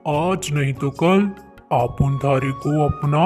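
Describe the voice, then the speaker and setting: A man's voice: on the high side, slow, darkish tone, soft background music. A man voicing a menacing hawk villain in a children's cartoon, taunting and threatening small prey. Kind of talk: children's cartoon story dialogue.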